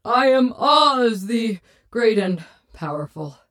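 A woman's voice: a little high, animated, slow pace, fairly bright tone, no room sound, clean studio sound, voice pushed up. A woman voicing a kindly, sheepish little old man, a fraudulent storybook wizard who speaks apologetically. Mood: grandiose. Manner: stuttering, sorrowful.

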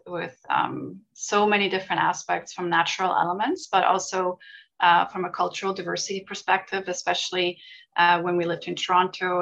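A woman's voice: on the low side, creaky, fairly bright tone, low-quality recording. A middle-aged woman from Switzerland answering interview questions thoughtfully, with frequent fillers and restarts. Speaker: guest, volunteer charity leader.

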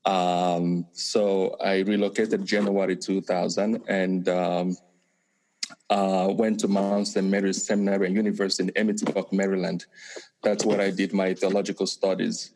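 A man from Nigeria with a Nigerian accent, a priest and counseling professor answering interview questions in a measured way with many pauses. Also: low-pitched, level tone, darkish tone, slight background noise. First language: Igbo. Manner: measured, many pauses and fillers, light laughs.

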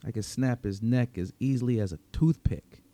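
A man narrating a dramatic story in the first person as a cynical, wry, menacing vigilante vampire, with sardonic, slangy delivery and dramatic pauses. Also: mid-range voice, warm tone, lightly acted. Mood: incredulous, cocky.

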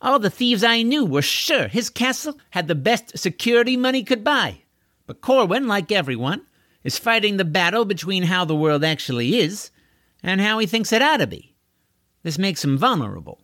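A man's voice: sits high, sing-song, normal speed, sharp tone, no echo, clean studio sound, voice pushed up, heavily acted. A man voicing a brash, boastful young woman thief in a storybook, with a cocky, insistent manner. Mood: smug, explaining.

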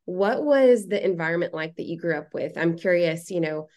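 A woman's voice: fairly low pitch, animated, moderate pace, darkish tone. A woman hosting a podcast, asking friendly, encouraging open questions. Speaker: podcast host. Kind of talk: casual interview hosting.

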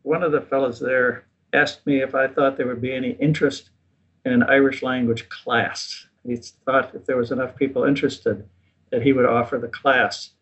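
An older man in a casual interview, recounting his experiences in a relaxed, self-deprecating way. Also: medium pitch, normal speed, slightly rough. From United States. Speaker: guest. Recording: dull lo-fi recording.

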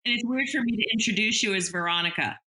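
A woman host with a bright, crisp tone. She opens the conversation and guides it along in a friendly, upbeat way, dropping in light jokes. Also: mid-range voice, quick pace, rough recording. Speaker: interview host. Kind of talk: conversational interview.

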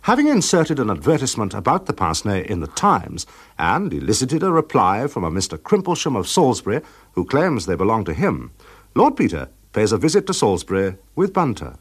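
A man's voice: on the low side, huge pitch swings, fairly quick, slightly rough. A man with a British accent reading scripted narration as the announcer of a radio drama. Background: music.

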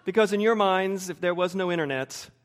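Male American preacher, forties, higher-pitched, anecdotal sermon style, deliberate phrasing with repetition for emphasis. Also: clear, resonant tone. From United States, suburban Wilmington. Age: 40s.

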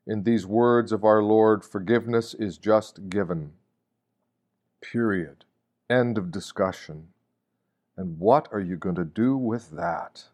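A man reading a scripted devotional meditation like a sermon, solemn and deliberate, posing rhetorical questions. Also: fairly low pitch, darkish tone. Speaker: reader of a Lenten meditation.

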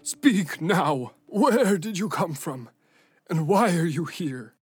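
An actor playing a grumpy, imposing old moose councilor who scolds in a stern, formal, accusing way for a children's audio drama. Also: male voice, fairly high pitch, lively intonation, slow, breathy edge, dry recording, studio quality, soft background music, heavily acted.

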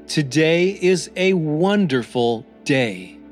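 A man reading scripted motivational affirmations as a podcast host, in a deliberate, encouraging manner. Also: fairly high pitch, expressive. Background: new-age music.

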